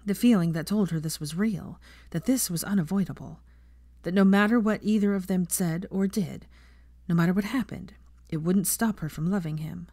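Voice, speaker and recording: A female audiobook narrator reads scripted fiction in a slightly low voice. The sound is close and dry, with little trace of the room. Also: moderate pace, mellow, no room sound.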